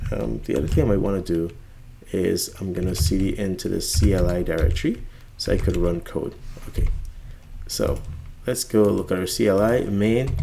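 A man with a Guyanese accent teaching programming in a relaxed screencast, thinking aloud as he types. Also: low-pitched, vocal fry, slight background noise. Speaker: programming instructor. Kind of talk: screencast coding tutorial.

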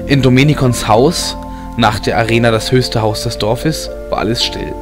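A man's voice: mid-range voice, moderate pace. A man reading scripted fantasy narration as an audiobook narrator. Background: background film music.

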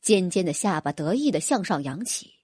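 A woman's voice: lowish voice, big pitch swings, moderate pace, darkish tone, husky, no room sound, studio quality. A woman narrating a fantasy audiobook in a dramatic, scripted storytelling style.

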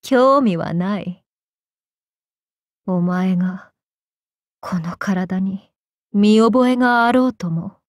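A woman voicing a tormented, vengeful samurai woman in an anime game, speaking in archaic, solemn, halting phrases. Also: mid-range voice, no echo, studio quality, lightly acted. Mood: haughty, guarded. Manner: archaic, solemn speech with halting pauses, sometimes sinking to whispers. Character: vengeful possessed samurai woman, tormented, torn between two selves. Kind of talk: anime game character voice lines.

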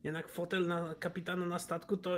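A man's voice: sits high, little pitch movement, a little fast, fairly bright tone. A man playing a tabletop role-playing game, casually talking through ideas. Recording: noticeable background noise.

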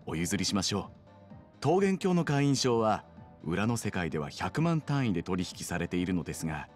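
Deep, lilting nightlife hustler. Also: male voice, big pitch swings, normal speed, soft background music. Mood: relenting, bargaining. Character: smooth-talking, courteous male nightlife guide and wheeler-dealer. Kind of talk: Japanese video game cutscene acting.

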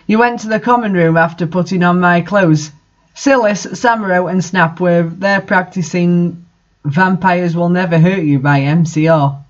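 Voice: medium pitch, normal speed, dull audio. A host reading fan fiction aloud in an amateur dramatic style, with the odd aside.

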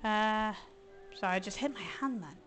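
A hoarse woman chatting casually as she streams a video game, thinking aloud and grumbling playfully. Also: mid-range voice, moderate pace, fairly bright tone, soft background music. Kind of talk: casual let's-play commentary.

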